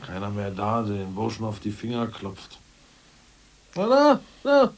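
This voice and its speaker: An actor playing a scheming, self-assured ousted party official in a radio drama, brisk and mocking, who slips into bureaucratic jargon. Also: male voice, fairly low pitch, huge pitch swings, a little hiss or hum, exaggerated voice. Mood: scornful. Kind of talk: 1980s radio drama acting.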